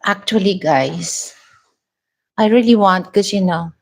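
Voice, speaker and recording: A woman's voice: mid-range voice, unhurried. A woman with a Filipino accent talking casually to her viewers in a vlog, rambling with many restarts and "you know"s. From Philippines. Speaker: vlogger.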